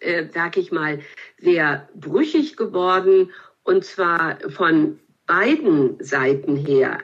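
A woman in her seventies, a guest expert answering interview questions in a thoughtful, measured way with frequent hesitations. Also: on the low side, dull audio. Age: 70s.

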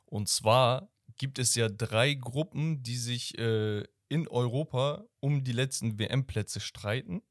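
A young man co-hosting a casual football podcast, laughing often and telling stories in quick bursts. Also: medium pitch, dry recording, studio quality. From Turkish background. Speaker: podcast co-host.

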